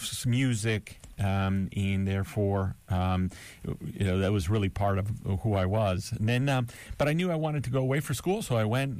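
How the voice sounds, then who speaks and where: Halting, deep-voiced older man from Miami. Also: a little mellow, no echo. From Miami, Florida, USA. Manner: thoughtful, halting, many fillers. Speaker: college president, guest. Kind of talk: casual interview.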